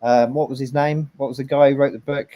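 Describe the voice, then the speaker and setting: A man's voice: mid-range voice, fairly even intonation, quick pace, dull audio. A man hosting a casual interview, praising a film with enthusiasm and talking in a rambling way with many fillers. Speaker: host.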